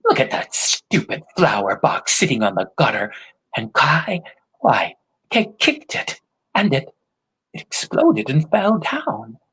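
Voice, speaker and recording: A small, earnest girl calls out in a raised, pleading voice that swoops up and down. Her tone is a little husky and unhurried. A man acts her out in a storybook telling. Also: on the high side, big pitch swings, a bit bright, voice pushed up, exaggerated voice. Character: young girl, earnest and hopeful.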